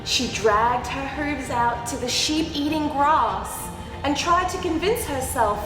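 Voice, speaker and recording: Lady doing kids storytime, high voice, slow n expressive, music behind her. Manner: animated, exaggerated reactions with gasps and drawn-out words. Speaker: children's storyteller. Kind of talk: expressive picture-book read-aloud with asides to young children. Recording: roomy echoing hall sound, background music.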